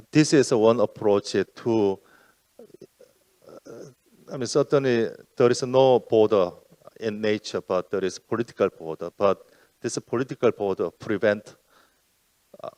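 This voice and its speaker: Low-voiced man, halting panel remarks. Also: a little mellow, smooth, no echo. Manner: halting, many fillers and self-corrections. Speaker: UN environmental program officer.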